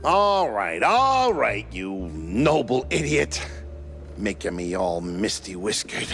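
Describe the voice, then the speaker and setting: An actor playing a small, jaded but kind-hearted shrew bartender in a noir cartoon, with a folksy, grumbling, sardonic way of talking. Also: male voice, fairly low pitch, big pitch swings, slow, a bit bright, soft background music, heavily acted. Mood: fond, moved. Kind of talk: animated noir dialogue.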